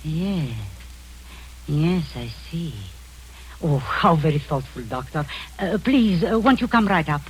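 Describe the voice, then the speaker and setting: A scheming older lady in an old radio play coats every word in syrupy politeness, as an actress gives her voice. Her pitch rises and falls in a lively, sing-song way, with a faint hiss beneath the vintage recording. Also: slow, poor audio. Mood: sugary. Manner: genteel, honeyed, overly gracious. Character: scheming middle-aged woman, falsely sweet. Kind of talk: 1940s radio acting.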